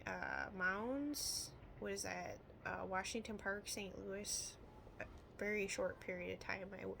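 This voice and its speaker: Gravelly Midwestern American woman, rambling casually. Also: mid-range voice, sharp tone, slight background noise. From Illinois, United States. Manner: rambling, with frequent trailing asides. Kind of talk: casual rambling vlog talk.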